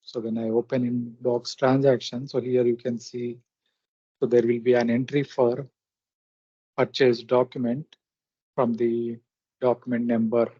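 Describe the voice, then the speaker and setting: Slow-talking man, dull lo-fi sound. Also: mid-range voice, mellow, dry recording. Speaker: software tutorial presenter. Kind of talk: step-by-step screen demonstration narration. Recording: dull, lo-fi close microphone recording.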